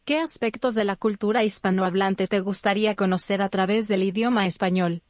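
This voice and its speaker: A synthetic woman's voice speaking as a language tutor, smooth and even. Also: medium pitch, brisk, no echo.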